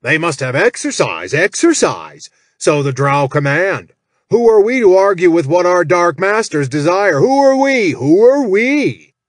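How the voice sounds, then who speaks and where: A man's voice: high voice, sing-song, measured pace, no echo, clean studio sound, voice pushed up. A man putting on a bellowing, bossy orc overseer. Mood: bellowing orders. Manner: bellowing, commanding, repeating orders. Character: roaring bossy orc overseer imitation, male.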